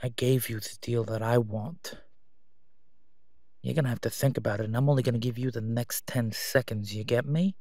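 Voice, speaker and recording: Casting: male actor as menacing mob boss for audio roleplay, oily, smug, mid-pitched, very dry close sound. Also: fairly even intonation, darkish tone, no echo, natural voice. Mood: quietly threatening. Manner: oily, taunting, drawn-out delivery with stalling pauses. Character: sleazy, menacing Gotham crime boss, a man, smugly haggling. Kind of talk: solo audio roleplay acting.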